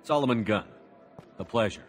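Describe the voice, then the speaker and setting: An actor playing a cool, unflappable soldier hero in a video game, confident and gruff, with a terse, dry, direct manner. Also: male voice, fairly low pitch, moderate pace, soft background music, natural voice. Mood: cool, assured.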